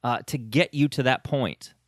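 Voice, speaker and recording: A man's voice: medium pitch, normal speed, no echo, clean studio sound. A man hosting a conversational interview podcast, speaking informally with emphatic phrasing.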